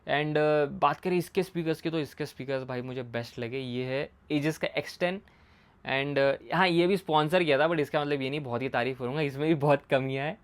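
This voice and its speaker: A man's voice: on the high side, a little fast. A man giving a casual product explainer in a conversational way, mixing in English words and repeating his points. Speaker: motorcycle gear reviewer and video host.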